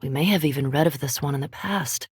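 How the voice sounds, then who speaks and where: Erudite vampire scholar, female, fantasy game. Low, breathy-edged voice, precise formal delivery, cool curiosity, close dry recording. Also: a little fast, no room sound. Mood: dry, analytical. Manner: formal, precise, dryly intellectual. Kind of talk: scripted fantasy game dialogue.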